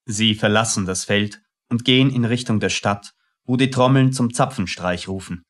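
A man's voice: lowish voice, normal speed, fairly bright tone. A man reading scripted scene narration for a radio play.

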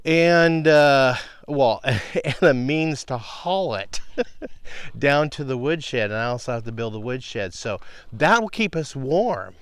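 A man in his fifties explaining things casually to the camera outdoors, in a halting, thinking-aloud way. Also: medium pitch, lively intonation, clear, resonant tone, no echo. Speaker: homestead vlogger. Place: outdoors in a grassy rural yard.

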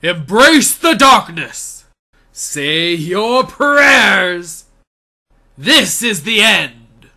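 A man's voice: high-pitched, big pitch swings, drawn-out words, creaky, sharp tone, voice pushed up, exaggerated voice. A man voicing a grim, haughty villain warrior in a menacing, theatrical way for a video game. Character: grim, haughty male villain warrior serving dark lord. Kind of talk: video game character acting.

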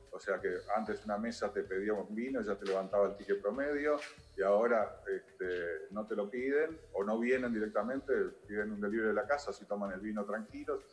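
An older Uruguayan man talks over a video call in a fairly low voice, pausing often and filling gaps with hesitations. The call sound is echoey and roomy. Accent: Uruguayan Spanish. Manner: hesitant, many filler words. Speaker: restaurant owner. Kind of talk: remote interview guest.

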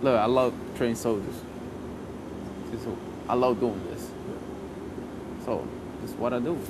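A man in his thirties from Puerto Rico with a Puerto Rican Spanish accent, a soldier talking casually in an interview, warm and repetitive. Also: mid-range voice, fairly even intonation, unhurried. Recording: noisy field recording.

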